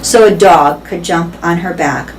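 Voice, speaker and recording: A woman reading a storybook as a tired, gentle, motherly old female elephant. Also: lowish voice, measured pace, lightly acted. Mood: sad, concerned. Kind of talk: storybook read-aloud. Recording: background noise.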